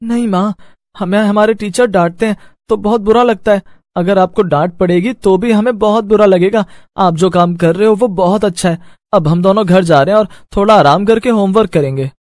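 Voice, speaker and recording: Young son, earnest, childlike, high-pitched, dry cartoon-story recording male actor. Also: a little fast, darkish tone, no echo, close to an everyday voice. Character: earnest, innocent young schoolboy son complaining to mother.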